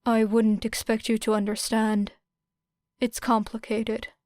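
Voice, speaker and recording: A woman's voice: a little high, a little slow, no room sound, natural voice. Woman as a cold, stoic teenage boy. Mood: cold, stubborn. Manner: flat, detached.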